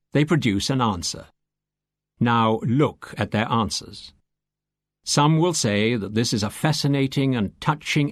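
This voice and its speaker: Low-voiced man reading an audiobook, dry sound. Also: no room sound. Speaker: audiobook reader. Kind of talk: scripted audiobook reading, lecture-like exposition.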